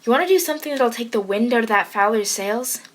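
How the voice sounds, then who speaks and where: A woman's voice: a little high, animated, quick pace. A woman playing a helpful, scheming family friend in an old-time radio comedy.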